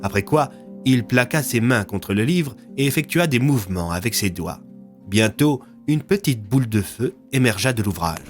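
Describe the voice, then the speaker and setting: A man's voice: medium pitch, expressive, moderate pace. A man narrating scripted, dramatic audio fiction as a storyteller, with music underneath.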